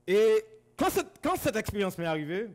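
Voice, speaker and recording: Animated preacher from Guadeloupe. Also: male voice, normal speed. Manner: swings from loud exclamations to low asides, repeats words. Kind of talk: sermon. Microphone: camera-recorded.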